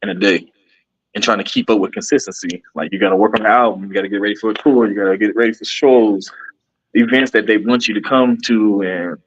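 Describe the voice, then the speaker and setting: Low-voiced man, earnest and rambling. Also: fairly even intonation, quick pace, highs cut off. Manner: earnest, rambling, many restarts. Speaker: interview guest, podcaster and musician. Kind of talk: casual interview talk.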